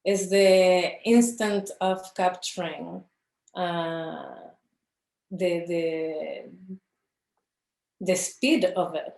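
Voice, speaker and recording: Slow, halting woman, echoey room. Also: fairly low pitch, fairly even intonation, vocal fry, a little mellow. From Chile. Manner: halting, searching for words with many fillers and restarts. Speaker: filmmaker, interview guest. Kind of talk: reflective conversation about her films.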